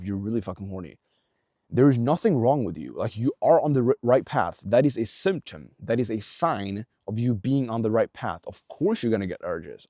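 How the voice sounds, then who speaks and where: A man's voice: on the low side, dark tone, no echo, studio quality. A young man giving a casual motivational talk straight to the viewer, blunt and earnest, swearing often and filling gaps with "like" and restarts. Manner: blunt, heavy swearing, many fillers and restarts; earnest, coaching tone aimed at viewer. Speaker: self-improvement vlogger.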